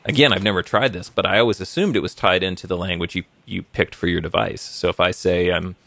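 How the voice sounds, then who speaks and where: A man with a very deep, gravelly voice chatting casually about tech on a podcast panel. He thinks aloud in an easygoing manner. The sound is dry and close. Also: steady tone, fast, a bit bright, dry recording.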